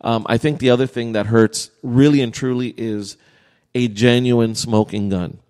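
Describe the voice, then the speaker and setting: Man, mid-pitched, skeptical, challenging, questioning, conversational debate, strong reverberant echo. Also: fairly even intonation, normal speed, a little mellow, clean tone. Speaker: podcast co-host. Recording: reverberant hall-like room echo.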